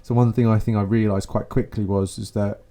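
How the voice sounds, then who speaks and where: A man's voice: on the low side, fairly quick. A man, a company co-founder chatting casually with dry humour. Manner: relaxed, dry humour, colloquial. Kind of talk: casual podcast chat.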